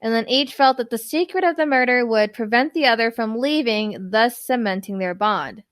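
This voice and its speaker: A woman's voice: on the high side, normal speed. A woman co-hosting a podcast, retelling a true crime case in casual chat, rambling with lots of "like"s and tripping over long words. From New York. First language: Spanish. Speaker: podcast co-host.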